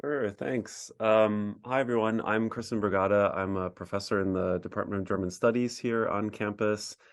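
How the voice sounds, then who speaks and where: Man, low voice, monotone, friendly, many fillers, professor on a panel. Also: moderate pace. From Cleveland, USA. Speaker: German studies professor. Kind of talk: panel Q&A introduction.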